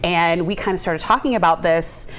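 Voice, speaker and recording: A woman's voice: fairly low pitch, moderate pace, a bit bright, a little hiss or hum. A woman with an American accent chatting effusively and casually as an interview guest. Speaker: theatre company co-founder, interview guest.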